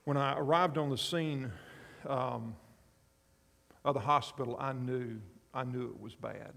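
A man's voice: mid-range voice, steady tone, unhurried, vocal fry, a bit bright, in a large hall. A man in his fifties preaching a sermon, telling a story earnestly and drawing the congregation in. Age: 50s. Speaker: pastor and chaplain.

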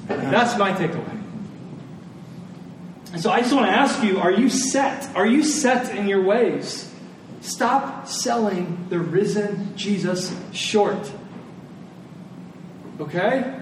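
A man's voice: high-pitched, lively intonation, slow pace, pauses often. A middle-aged man preaching a sermon in a conversational way, putting rhetorical questions to his listeners. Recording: very echoey room, distant mic, faint noise.

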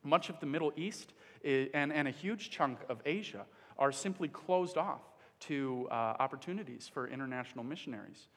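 A man's voice: mid-range voice, fairly bright tone. Man preaching in a reverberant hall. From Dominican Republic. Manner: earnest, pauses with fillers. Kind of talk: sermon.

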